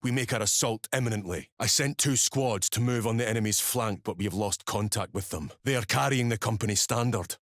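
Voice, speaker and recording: A man's voice: lowish voice, brisk, few pauses, fairly bright tone, no room sound, clean studio sound, close to an everyday voice. Man playing a stern, commanding space soldier captain. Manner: clipped, authoritative, formal military delivery. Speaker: military commander. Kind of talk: dramatic video game voice acting.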